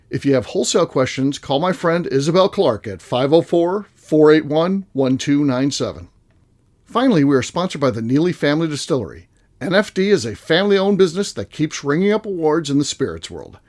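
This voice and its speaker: A man co-hosting a casual podcast chat, joking with his guests. His voice sits a touch high for a man and swings widely up and down as he talks. He reads sponsor spots in the same easy, lively manner. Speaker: podcast co-host.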